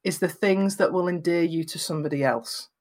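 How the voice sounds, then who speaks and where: A woman's voice: on the low side, a little fast, a little mellow. Encouraging woman with a Lancashire accent. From Lancashire, northwest England. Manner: emphatic, encouraging, acts out little quotes. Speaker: guest giving video advice. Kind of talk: motivational advice in conversation.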